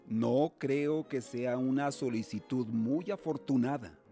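An actor playing a stern, cautious middle-aged uncle in a radio drama, speaking in a measured, formal, paternal way. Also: male voice, mid-range voice, vocal fry, warm tone, soft background music. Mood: firm, skeptical. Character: stern, cautious guardian uncle of colonial Mexico.

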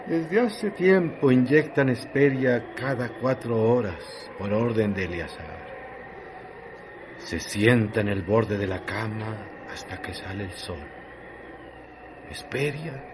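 A man narrating a radio drama in a measured, solemn way. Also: fairly low pitch, background noise.

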